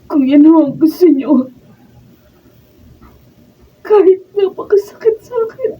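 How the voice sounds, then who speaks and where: A woman's voice: extremely high pitch, animated, unhurried. A Filipino woman acting a devoted, heartbroken, submissive young wife in a radio drama. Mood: tearful resignation. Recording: muffled, phone-like, far from mic.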